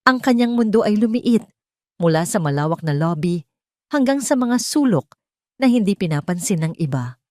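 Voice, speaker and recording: A woman's voice: medium pitch, big pitch swings, normal speed, darkish tone, dry recording, clean studio sound. A woman narrating a dramatic audio story and reading every part.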